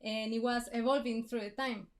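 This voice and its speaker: A woman's voice: fairly high pitch, fairly even intonation, brisk. Hesitant woman guest from Colombia. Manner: hesitant, short replies. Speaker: guest, artist and tattooist. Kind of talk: casual interview answers.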